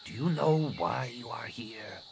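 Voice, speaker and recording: A man voicing a stern alien woman of rank, a master scientist, speaking in a slow, hushed, imperious way for a story. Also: mid-range voice, lively intonation, pitched above the performer's own voice. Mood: probing, grave. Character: stern female alien master scientist nearing middle age. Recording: clearly noisy recording.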